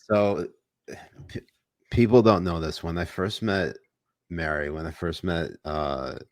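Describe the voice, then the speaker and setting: A man's voice: sits low, unhurried, no room sound. A young man hosting a casual comedy podcast, joking crudely and rambling with restarts. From United States. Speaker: podcast host.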